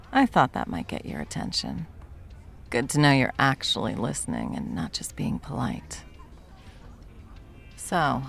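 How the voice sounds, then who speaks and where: A woman playing a confident, flirtatious, protective bartender in an intimate ASMR roleplay, teasing and unhurried. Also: extremely low pitch, gravelly, full character voice. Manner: teasing, unhurried, soft chuckles, dropping toward whispers. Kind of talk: ASMR roleplay, intimate one-sided conversation.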